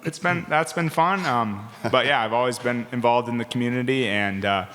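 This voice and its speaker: Young Midwestern man, easygoing, low but bright voice, in a reverberant hall. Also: moderate pace. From Minnesota, US. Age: 20s. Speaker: workshop participant. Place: community hall, live event.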